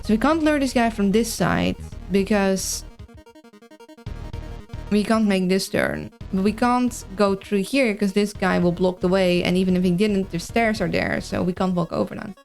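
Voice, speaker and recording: Gravelly-edged woman with mild Dutch accent. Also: medium pitch, lively intonation, moderate pace, darkish tone, faint music behind. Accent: mild, mixed Dutch. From Netherlands. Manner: hesitant, thinking aloud. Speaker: game streamer. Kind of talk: casual livestream chat and puzzle-game commentary.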